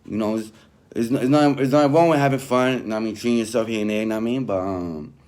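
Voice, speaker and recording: A man's voice: fairly low pitch, rapid-fire, darkish tone. A man commenting informally while reading aloud from a text, talking in conversational street slang and often checking that the listener follows. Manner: street-slang, conversational, peppered with "you know what I mean". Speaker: online commentator reviewing a written statement.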